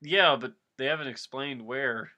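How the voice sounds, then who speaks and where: A man's voice: medium pitch, unhurried, bright, forceful tone, lo-fi sound. A man in casual comic chat, incredulous and nitpicking, stammering as he comments on a story reading.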